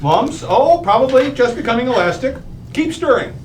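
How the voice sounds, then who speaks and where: A man's voice: very high voice, lively intonation, moderate pace, heavy background noise. A man playing a cocky, harebrained father with homemade schemes in an old-time radio comedy. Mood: busy, confident.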